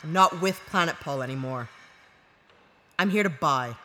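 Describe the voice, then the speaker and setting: An actress playing a brash, cunning, cool-headed disgraced ex-narc in an audio drama. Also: female voice, low voice, moderate pace, bright, forceful tone. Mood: cool, taunting.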